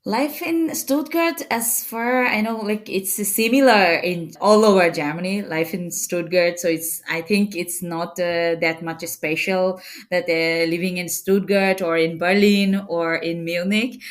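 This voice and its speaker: Guest spot: young woman from Bangladesh, lower voice, halting, filler-heavy answers. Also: steady tone. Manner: hesitant, many fillers, non-native phrasing. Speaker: startup co-founder, guest. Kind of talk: casual interview answers.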